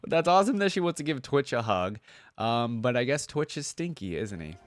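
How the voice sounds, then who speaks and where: A man's voice: medium pitch, big pitch swings, normal speed, no echo, soft background music. A man hosting a reaction video, thinking aloud in an informal, conversational way about game voice lines.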